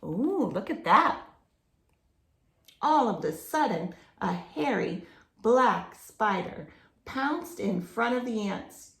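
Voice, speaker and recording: Female children's storyteller, unhurried and slightly gravelly, expressive read-aloud with simple explanations, recorded in a roomy, echoey space. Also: on the high side. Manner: explains to young listeners with simple questions and expressive emphasis.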